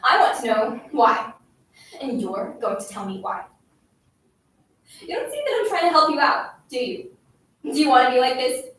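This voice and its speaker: A woman's voice: a little high, measured pace, fairly bright tone. A woman playing a cruel schoolgirl who bullies a silent classmate with taunting, insistent commands in a dramatic story performance. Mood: scornful, furious. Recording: very echoey room, distant mic, faint noise.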